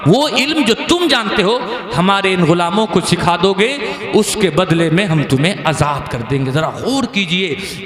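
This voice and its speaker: A man preaching a fervent sermon to a crowd, his delivery swelling into impassioned shouts. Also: sits high. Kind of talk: Islamic sermon. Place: large gathering. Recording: echoey hall with crowd.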